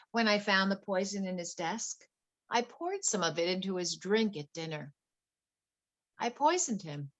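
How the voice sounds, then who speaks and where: A woman's voice: mid-range voice, natural voice. A woman playing a betrayed wife turned coolly vengeful poisoner in a dramatic stage play reading. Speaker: actor in a play workshop.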